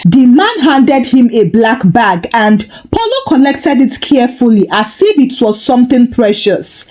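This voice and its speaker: A woman narrating a scripted dramatic story in a deliberate, expressive storytelling manner. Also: a little high.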